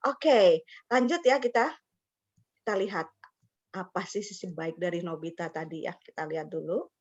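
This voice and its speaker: A woman's voice: on the low side, moderate pace, a little mellow. A woman presenting an online teacher-training webinar, chatty, slipping in English words and laughing often. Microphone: video call. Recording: slightly dull lo-fi call audio.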